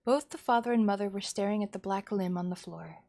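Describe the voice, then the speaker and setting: Woman, medium pitch, dramatic horror narration, streamer reading visual novel. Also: brisk, darkish tone.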